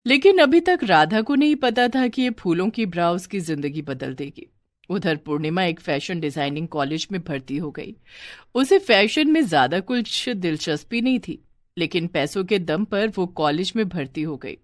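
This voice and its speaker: A woman's voice: fairly low pitch, animated, normal speed, dry recording, studio quality. A woman reading a scripted moral bedtime story as a storyteller.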